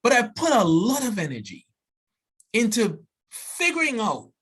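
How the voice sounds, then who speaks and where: A man in his forties from Trinidad and Tobago with a thick Trinidadian accent, giving an earnest, animated seminar talk as a biology professor, often restarting and tagging "right?". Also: sits high, a little slow, slightly rough.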